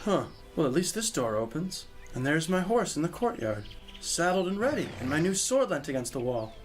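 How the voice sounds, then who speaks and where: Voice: mid-range voice, sing-song, normal speed, soft background music, natural voice. An actor playing an earnest, impulsive young male knight in an audio drama, exclamatory and courtly in phrasing. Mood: resolved.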